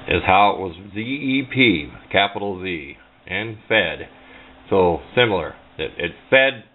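A man with a fairly low voice talks casually through a homemade build. He is folksy and unscripted, rambling along as he explains. The sound is narrow and phone-like, as from a small camera mic. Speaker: hobbyist demonstrating a homemade build. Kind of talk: casual unscripted how-to talk. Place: indoors at a workbench.

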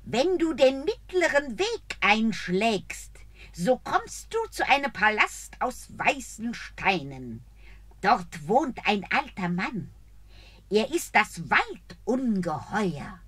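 A high, sing-song old witch from a fairy-tale radio play who speaks slowly, as a woman acts her out. The recording is close and dry. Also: slightly rough, no room sound, full character voice. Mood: advising. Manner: exclaiming, animated. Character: old forest witch, gruff but helpful. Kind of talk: audio drama acting.

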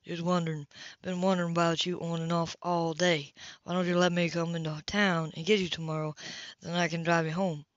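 A dull, dry lo-fi audiobook recording of a cocky man flirting , read by a woman. He is lazily confident and teasing, with a casual, lowered delivery. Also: level tone, fairly quick, no echo, close to an everyday voice. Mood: teasing, seductive.

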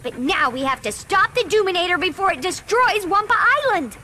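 A woman's voice: very high-pitched, lively intonation, brisk, bright, forceful tone, exaggerated voice. A woman voicing a perky, brainy young bandicoot girl, a plucky little sister in a cartoon video game, with a peppy girlish delivery. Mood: excited.

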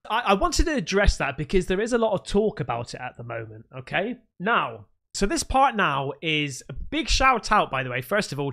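A man's voice: a little high, pushed and bright. A man in his thirties casually reacting to clips on his stream, thinking aloud with restarts, recorded close on a studio mic in a small home room. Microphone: close studio mic with headphones.

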